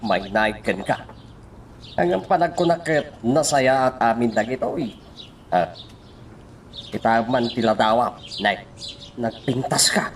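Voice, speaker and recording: A man's voice: mid-range voice, expressive, unhurried, long pauses, far from the mic, a little hiss or hum. A man playing a chatty, casual fellow in radio drama dialogue.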